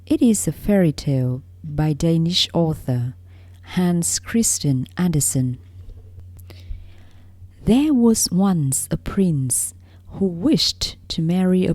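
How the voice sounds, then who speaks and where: A woman reading a fairy tale aloud as a read-along for learners, in careful, deliberate phrases with frequent pauses. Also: lowish voice, sing-song, darkish tone, dry recording. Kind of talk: scripted read-along storybook narration.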